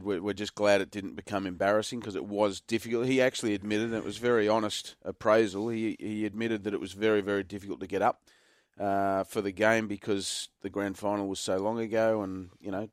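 A man with a croaky voice, giving measured football analysis on a radio segment. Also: fairly low pitch, steady tone, dry recording, clean studio sound. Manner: measured, informative, self-correcting. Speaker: football pundit.

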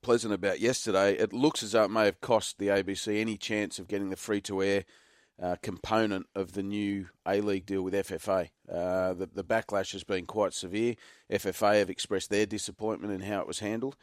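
A man with a croaky voice, giving measured football analysis on a radio segment. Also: lowish voice, fairly even intonation, dry recording, clean studio sound. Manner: measured, informative, self-correcting. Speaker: football pundit.

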